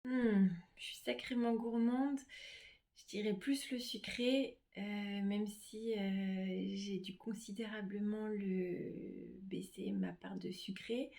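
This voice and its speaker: A middle-aged woman, a reflexologist, talking casually about herself to her clients in a gentle, hesitant way with many pauses. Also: medium pitch, fairly even intonation, gravelly. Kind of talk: casual self-presentation to clients.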